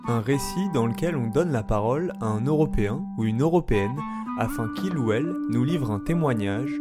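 A man from France reading a scripted introduction in a measured, composed way. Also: medium pitch. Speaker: podcast narrator. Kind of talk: scripted narration. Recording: narration over loud guitar music.

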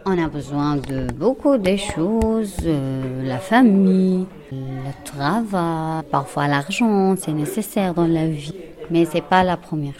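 A woman's voice: deep voice, slow, music in the background. A woman giving an answer in a street interview. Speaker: passer-by interviewee. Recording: street interview with crowd chatter.

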